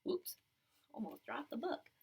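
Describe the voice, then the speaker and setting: A woman's voice: fairly high pitch, very animated, unhurried, gravelly, darkish tone, breathy edge. A woman reading a children's story aloud expressively, talking directly to young listeners with explanations and questions. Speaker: storybook reader.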